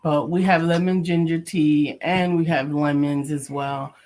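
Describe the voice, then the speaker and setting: A woman's voice: low-pitched, steady tone, unhurried, a little mellow. A woman co-hosting a casual live-stream chat, practical and offering home remedies. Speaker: co-host and coach.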